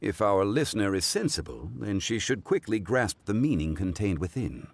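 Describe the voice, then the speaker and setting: An actor voicing a composed, erudite gentleman in a video game, speaking in a formal, measured and thoughtful manner. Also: fairly low pitch, expressive, creaky, a little hiss or hum. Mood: sage, approving. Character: composed, erudite, gentlemanly man of old-fashioned wisdom.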